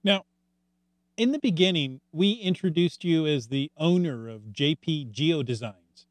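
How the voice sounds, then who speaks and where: A man co-hosting a podcast, chiming in with quick, joking remarks. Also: on the high side, lively intonation, no room sound. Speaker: podcast co-host. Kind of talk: casual interview chat.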